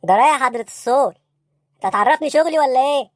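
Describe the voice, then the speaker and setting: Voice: sits high, rapid-fire, no room sound, studio quality, close to an everyday voice. A performer voicing a baffled, bossy police interrogator in an Egyptian comedy cartoon, brusque and insistent, with an Egyptian accent. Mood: exasperated, bossy.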